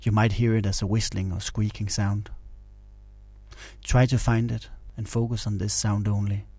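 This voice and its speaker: Male meditation narrator: low, even-toned, calm and instructional delivery. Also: normal speed, husky, no echo. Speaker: meditation guide.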